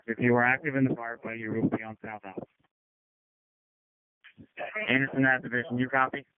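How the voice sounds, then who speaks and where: A man's voice: mid-range voice, level tone, a little fast. Man on tinny fire radio. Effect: two-way radio. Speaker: incident commander on fire radio. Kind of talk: terse emergency radio traffic.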